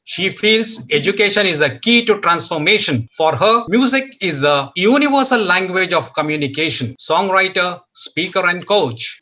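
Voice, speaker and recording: A man's voice: high-pitched, pushed and bright, rough recording. A man hosting a talk show over a video call, introducing a guest in an announcer-like, emphatic way. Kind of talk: formal guest introduction and interview questions.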